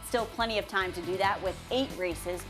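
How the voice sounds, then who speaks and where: A woman's voice: medium pitch, normal speed, music in the background. A woman working as a sports anchor, reading scores and match recaps in a broadcast news style. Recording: faint background noise.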